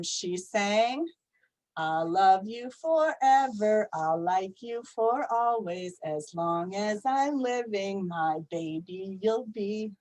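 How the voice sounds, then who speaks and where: A woman reading a children's story aloud in a gentle, repetitive storybook cadence. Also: mid-range voice, low-quality recording.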